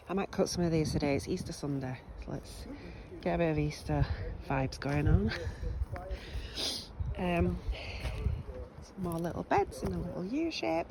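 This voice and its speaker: A woman's voice: fairly low pitch, noisy background. A woman with a cold, chatting casually and a bit scattily as she walks around her allotment. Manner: chatty, scattered, with many ums and restarts. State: sniffly from a cold. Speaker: allotment gardener giving a tour. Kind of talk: casual walking tour vlog. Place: outdoors on an allotment.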